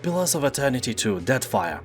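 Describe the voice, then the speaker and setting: A man's voice: medium pitch, very animated, brisk. A man narrating a game deals video from a script, slipping in wry, joking asides. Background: faint music.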